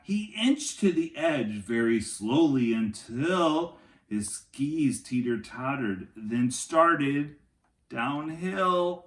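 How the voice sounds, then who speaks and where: A man reading a picture book aloud to young children like a teacher, in an expressive, dramatic way with chatty asides. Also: on the high side, gravelly, roomy sound.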